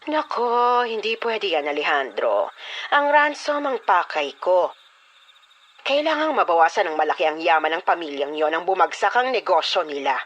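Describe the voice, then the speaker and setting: A woman's voice: fairly low pitch, very animated, normal speed, a bit bright, highs cut off. A woman playing an imperious, taunting villainess in a theatrical horror audio drama. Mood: insistent, wary. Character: scheming female ransom mastermind, and a mocking, fearless old grandmother.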